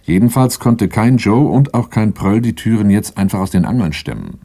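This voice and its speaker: A wary, brooding first-person narrator of a radio crime play. His voice sits very low and has a soft, dark tone. He tells things in a measured, matter-of-fact way. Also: lively intonation. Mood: methodical. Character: wary handyman-driver narrating his story, calm and brooding.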